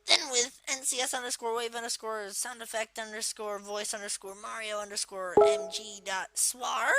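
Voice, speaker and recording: A woman voicing a young male cartoon turtle villain while giving an informal, hesitant, unscripted software tutorial. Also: on the high side, fairly even intonation, normal speed, loud music behind the voice, natural voice, low-quality recording. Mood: explaining, casual. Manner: hesitant, informal, rambling delivery. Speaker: tutorial presenter.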